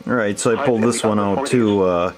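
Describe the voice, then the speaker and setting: A man's voice: on the low side, brisk, a loud crowd. A man narrating a hands-on radio hobby video in a casual, unscripted way, thinking aloud as he goes. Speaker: hobbyist demonstrating shortwave radio reception. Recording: heavy background noise, amateur camera recording.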